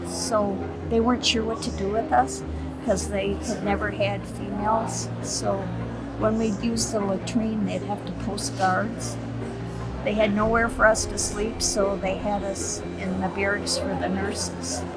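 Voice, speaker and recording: Older woman veteran, slow and deliberate. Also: mid-range voice, little pitch movement, poor audio. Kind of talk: interview testimony. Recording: loud music behind.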